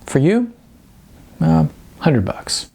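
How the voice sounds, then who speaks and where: Man playing a goofy, high-swooping cocky young dude. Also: extremely slow, creaky, slightly rough, heavily acted. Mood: cocky bravado. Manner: slangy, boastful, excitable, hesitant filler words. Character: cocky, goofy, rambling young male wannabe fighter, dude-bro.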